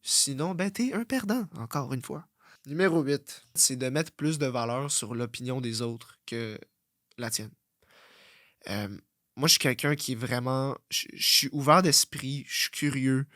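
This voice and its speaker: A man's voice: a little slow, no echo. Brash Québécois man, close dry mic. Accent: Québécois French. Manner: brash, profane, swings from loud outbursts to low muttering. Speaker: fitness coach and podcast host. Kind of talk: blunt motivational monologue.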